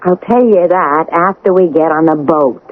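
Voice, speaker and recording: A woman's voice: low-pitched, normal speed, lightly acted. An actress playing a tough, impatient, streetwise woman bossing her man around in a radio crime drama. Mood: coaxing, firm. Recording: tinny, hissy old radio broadcast.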